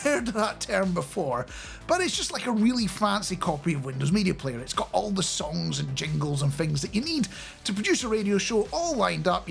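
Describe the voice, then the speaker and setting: A middle-aged man giving a casual, chatty and animated explainer into a close studio microphone. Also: sits high, vocal fry. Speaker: radio producer and software developer presenting a video. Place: small home studio indoors. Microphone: close studio microphone on a boom arm.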